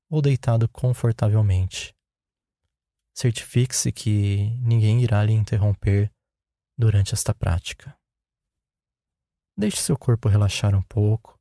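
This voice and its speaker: A Brazilian man guides a meditation in a lowish, very mellow voice. His delivery is calm and unhurried, giving each instruction plainly. The sound is dry, with little room around it. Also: no echo. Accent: Brazilian Portuguese.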